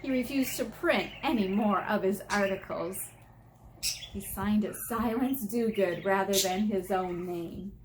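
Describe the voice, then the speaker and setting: An American woman reading a picture book aloud as an elementary teacher, expressive and teacherly, stressing key words and putting questions to her young listeners. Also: medium pitch, a little slow, a little hiss or hum, rough recording. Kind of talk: children's read-aloud with explanatory asides.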